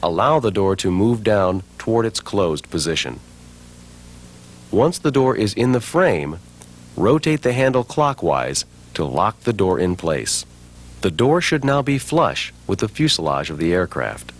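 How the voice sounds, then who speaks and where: A man reading scripted training-film narration, instructing clearly step by step. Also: fairly low pitch, very animated, measured pace.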